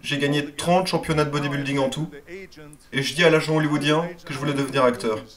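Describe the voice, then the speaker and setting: A man's voice: on the high side, steady tone, fast. A man reading a first-person motivational speech as a dubbed voice-over, in an earnest and emphatic way. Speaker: voice-over translator.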